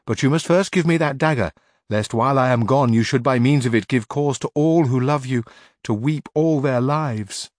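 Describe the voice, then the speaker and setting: A man's voice: medium pitch, moderate pace, a little mellow, dry recording, clean studio sound, pitched above the performer's own voice, lightly acted. A man voicing a crafty young maidservant in a storybook reading, pleading and melodramatic. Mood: coaxing.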